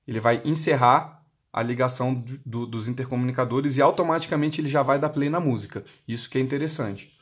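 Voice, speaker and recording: A man's voice: mid-range voice, fairly quick. Brazilian man giving a step-by-step tutorial. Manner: explanatory, asks and answers own questions. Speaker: product demonstrator for motorcycle intercom seller.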